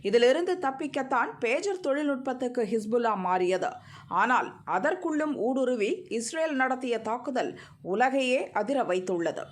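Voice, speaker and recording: A woman narrating a scripted news report in an even, formal read. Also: high-pitched, moderate pace.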